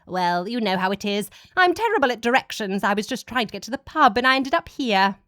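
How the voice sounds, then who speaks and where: A woman's voice: on the high side, lively intonation, brisk, pushed and bright, no echo, clean studio sound, pitched above the performer's own voice. Woman voicing a smug, flippant vampire professor. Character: flippant smug male vampire professor, cheeky time traveller. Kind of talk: dramatic audiobook character voice.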